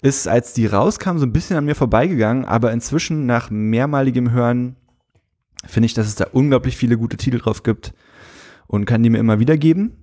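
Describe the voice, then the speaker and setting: A man, co-hosting a casual podcast and talking about music in a relaxed, hesitant way with many fillers. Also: medium pitch, a little fast. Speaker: podcast co-host.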